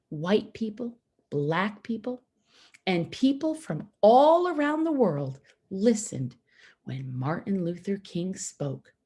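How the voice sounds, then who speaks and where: Slow, expressive woman reading a storybook. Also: mid-range voice, breathy edge. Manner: deliberate, simple phrasing with pauses for young listeners. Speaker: storybook reader for schoolchildren. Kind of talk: read-aloud children's picture book narration.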